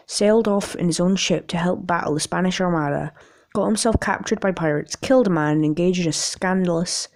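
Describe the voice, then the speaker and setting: A woman with a raspy voice in an amateur, casual video, rambling and reading aloud in a jokey, self-deprecating way with stumbles. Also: on the low side, darkish tone. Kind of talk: casual rambling vlog with articles read aloud.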